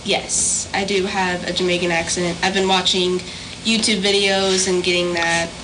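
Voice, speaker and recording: A woman's voice: lowish voice, little pitch movement, normal speed, noisy background. A teenage girl talking eagerly about her stage role. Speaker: young actor guest.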